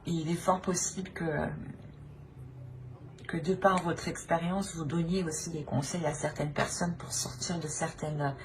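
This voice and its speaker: A woman's voice: low voice, fairly even intonation, unhurried. A woman giving an unscripted tarot reading to viewers, hesitant, repeating phrases and often tagging on a "hein". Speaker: tarot reader hosting her channel. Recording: noticeable background noise.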